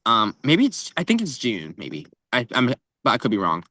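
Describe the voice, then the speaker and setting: Bright-voiced man chatting casually about books. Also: mid-range voice, huge pitch swings, normal speed. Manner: informal, tentative phrasing with frequent "like". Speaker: co-host of a book podcast.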